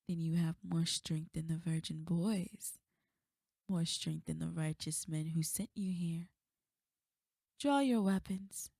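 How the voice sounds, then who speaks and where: A woman playing a sly, teasing succubus in an intimate ASMR roleplay, breathy and often slipping into whispers. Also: fairly low pitch, dark tone, no room sound, studio quality, exaggerated voice. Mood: taunting. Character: sly, seductive female succubus, taunting and teasing. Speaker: audio roleplay performer.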